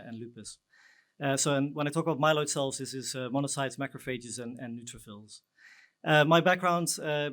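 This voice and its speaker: A man's voice: medium pitch, fairly bright tone. A man introducing himself and explaining his company's science on a panel, with many fillers. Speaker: biotech executive panellist.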